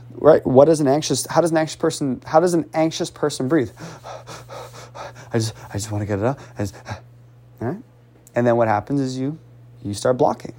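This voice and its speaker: Animated, sighing male speech coach. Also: mid-range voice. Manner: animated, repetitive, urging, with audible sighs. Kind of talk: casual coaching talk.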